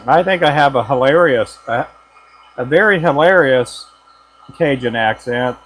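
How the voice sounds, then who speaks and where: A man's voice: mid-range voice, expressive, unhurried. A man from the United States chatting casually and joking with viewers while he works, laughing often. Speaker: lure maker and channel host. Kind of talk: casual live chat while working.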